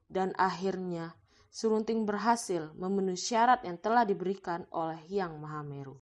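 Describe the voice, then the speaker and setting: A woman's voice: on the low side, moderate pace. A woman reading scripted narration for a folktale as its storyteller.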